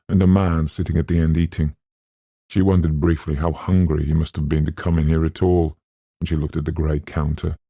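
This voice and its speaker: Guy narrating an audiobook, super deep husky voice, lots of creak. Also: dark tone, dry recording, clean studio sound. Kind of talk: scripted literary narration.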